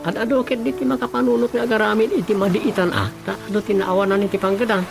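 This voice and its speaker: A man's voice: sits high, level tone, fast, creaky. A man with an Ilocano accent playing a folksy, chatty village elder in a radio comedy-drama. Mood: concerned, chatty. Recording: music behind the voice.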